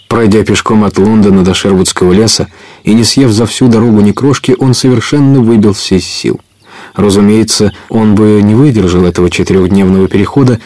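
A man's voice: fairly low pitch, darkish tone, dry recording. A man narrating an adventure audio play in a scripted storytelling style.

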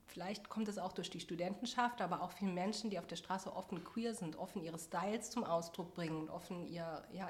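Woman from southwest Germany, slightly low voice, informal interview guest, easily amused. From Saarland, southwest Germany. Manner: informal, quick to laugh. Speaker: interview guest, bookshop owner. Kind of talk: casual conversational interview.

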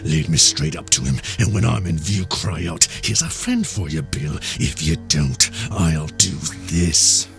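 A man's voice: a bass voice, animated, measured pace, creaky, hardly any pauses, fairly bright tone, soft background music, voice pushed down, full character voice. A man voicing a blind old pirate beggar who is cruel and cunning, with a whining sing-song that turns cold and menacing, in an audiobook reading. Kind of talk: audiobook character voice.

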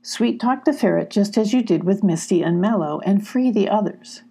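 A woman voicing a calm, calculating male leader in a storybook, speaking in a measured, deliberate way. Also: medium pitch, creaky, breathy edge. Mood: calm, planning.

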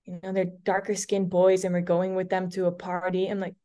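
Woman, twenties, light Dutch accent, Dutch native speaker, lowish voice, chatty guest, restarts and fillers. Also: monotone, a little fast, warm tone. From Netherlands. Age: 20s. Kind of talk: casual podcast conversation.

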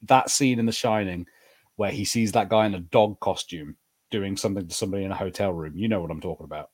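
A man's voice: low-pitched, fast, darkish tone. A man co-hosting a podcast in casual, bantering conversation about pop culture. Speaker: podcast host.

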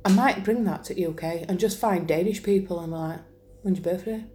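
A woman's voice: on the low side, fairly quick, warm tone, a little hiss or hum. A woman with a Northern English accent, bantering casually and playfully in colloquial speech as a podcast co-host.

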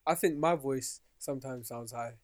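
A man's voice: medium pitch, normal speed, no room sound, clean studio sound. A young man co-hosting a podcast, teasing his friend in laid-back, slangy chat. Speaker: podcast co-host. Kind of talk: casual chat.

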